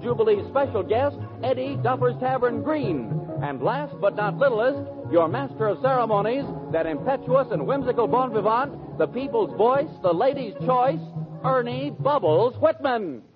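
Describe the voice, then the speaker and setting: A man's voice: very high-pitched, expressive, normal speed, dull audio. An American man announcing a 1940s radio show in punchy, theatrical jive slang on an old recording. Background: music.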